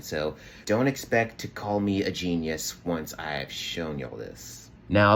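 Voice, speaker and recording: A young man with a bassy voice, casually walking through his home production setup with lots of fillers. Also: a little hiss or hum. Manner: relaxed, chatty, many fillers. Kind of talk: casual tutorial walkthrough. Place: small home studio room.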